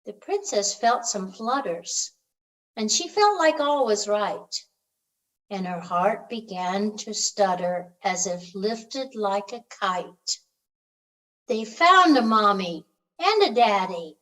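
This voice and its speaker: Woman reading a children's story, lively swooping pitch. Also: rough recording. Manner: expressive, gentle storytelling with drawn-out phrase endings. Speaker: storybook reader. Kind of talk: children's storybook read-aloud.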